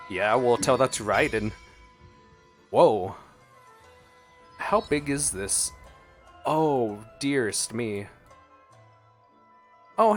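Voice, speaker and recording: A man giving casual, expressive gameplay commentary, talking to himself playfully as he plays. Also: mid-range voice. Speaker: gaming streamer. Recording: game music behind voice. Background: video game music.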